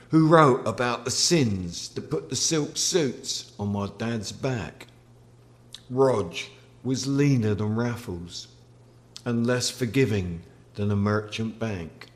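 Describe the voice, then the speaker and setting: A man reads from a novel in a theatrical storytelling style. His pace is slow and deliberate. His intonation rises and falls widely, at a medium pitch for a man. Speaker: author reading from own novel. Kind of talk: literary audiobook-style narration.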